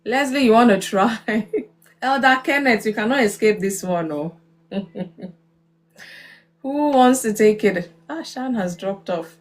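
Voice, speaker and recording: A woman's voice: a little high, slow pace, pauses often. A woman with a Nigerian accent hosting a casual live group chat in a playful, coaxing, encouraging way. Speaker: live stream host.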